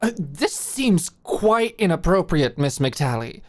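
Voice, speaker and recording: A woman's voice: fairly low pitch, very animated, takes it slowly, exaggerated voice. A woman playing a stiff, dutiful female British office manager in an audio roleplay, speaking formally and with restraint. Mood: uneasy, protesting.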